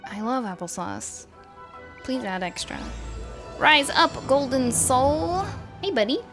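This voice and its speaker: A woman doing casual live gameplay commentary as a streamer, playful and excitable in her reactions. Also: high-pitched, slow pace, music in the background. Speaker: game streamer.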